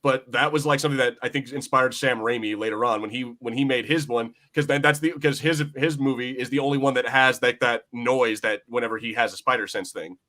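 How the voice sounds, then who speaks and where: A man speaks in a bright, punchy voice at a quick clip. He rambles excitedly through casual podcast chat and swears freely. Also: medium pitch. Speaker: podcast host.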